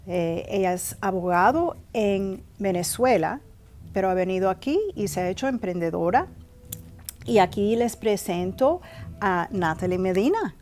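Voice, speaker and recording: A woman's voice: mid-range voice, a little mellow. A woman hosting a conversational TV interview in a chatty, encouraging way, often adding short reactions. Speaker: TV interview host.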